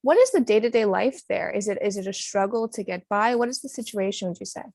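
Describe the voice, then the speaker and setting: A woman hosts an interview in a conversational style. She talks quickly at a medium pitch, and her sound is dry, with little room in it. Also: expressive, creaky, a little mellow, no echo. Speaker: show host, interviewer.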